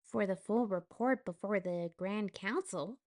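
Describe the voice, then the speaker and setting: A woman narrating a fantasy audio drama in a slow, soft, measured way. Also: medium pitch.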